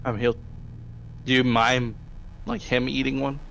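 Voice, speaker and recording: A man with a gravelly voice, narrating a tabletop game as game master in a casual way. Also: medium pitch, little pitch movement, unhurried, fairly bright tone, a little hiss or hum, rough recording.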